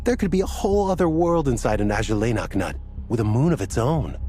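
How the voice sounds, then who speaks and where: Man doing an earnest meandering storyteller, mid pitch, animated, easily sidetracked. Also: fairly quick, lightly acted. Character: rambling, flustered man recounting a strange ordeal. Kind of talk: game cutscene dialogue.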